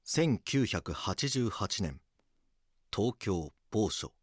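A man reading scripted documentary drama narration in a solemn, measured manner. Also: on the low side, very animated, no echo, clean studio sound, heavily acted.

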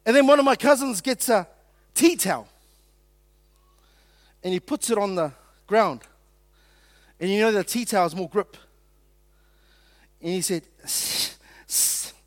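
A man's voice: sits high, unhurried, long pauses. A man born in Samoa, preaching a sermon and telling stories, joking with the congregation. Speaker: pastor.

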